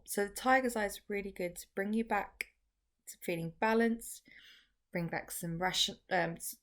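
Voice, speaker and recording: Woman, casual how-to teacher, slightly croaky with gravelly fry, restart-heavy delivery. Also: on the low side. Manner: chatty, filler-heavy explaining with frequent restarts. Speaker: crystal workshop teacher.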